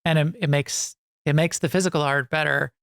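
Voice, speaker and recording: A woman interviewer talks casually with a gravelly, creaky edge. She restarts and repeats words as she shapes a thought. The sound is dry, with no room echo. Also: low voice, fairly even intonation, fairly quick.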